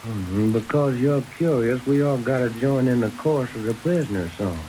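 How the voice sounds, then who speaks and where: A man playing a hot-headed, wisecracking sidekick with a mid-range voice and a drawl. He grumbles in folksy slang in old-time radio style. Hiss and steady rain lie clearly over the recording. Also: mid-range voice, normal speed, close to an everyday voice, poor audio. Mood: restless.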